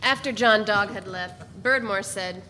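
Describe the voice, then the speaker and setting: A woman with a medium-pitched voice reads literary narration aloud in a deliberate, measured way. She uses dramatic pauses, and the hall adds a long reverb with faint noise. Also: pushed and bright.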